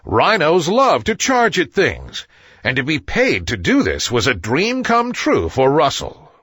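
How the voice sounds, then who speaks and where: A man narrating a scripted children's story as an expressive storyteller. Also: fairly high pitch, measured pace, husky, no room sound, studio quality.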